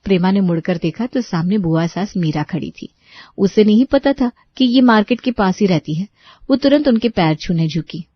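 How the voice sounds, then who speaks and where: A woman's voice: mid-range voice, animated, normal speed, slightly rough, no room sound, muffled recording. A woman storyteller reading scripted narration for a family moral story.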